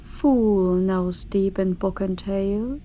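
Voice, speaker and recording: A woman voicing an ancient, haughty dark dragon in a grandiose, archaic ASMR fantasy monologue, deliberate and sometimes dropping into a breathy whisper. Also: medium pitch, a little hiss or hum, close to an everyday voice. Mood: grandly menacing. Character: ancient female dark dragon, eldritch, haughty, menacing, contemptuous.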